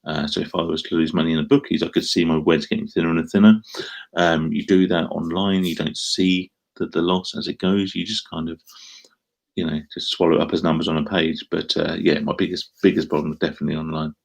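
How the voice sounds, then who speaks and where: A man's voice: deep voice, fairly even intonation, a little fast, creaky. A middle-aged man from Essex with an Essex accent, chatting casually on a live stream and answering comments in a rambling, self-deprecating way. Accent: Essex English. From Essex, England. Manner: rambling, self-deprecating, frequent ums and restarts.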